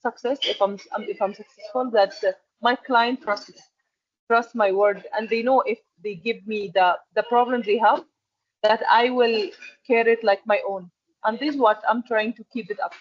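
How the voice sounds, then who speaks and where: A woman whose first language is Arabic, an interview guest telling her career story in an earnest, halting way full of restarts. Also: a little high, steady tone, highs cut off. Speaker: interview guest, export manager. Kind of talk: conversational interview.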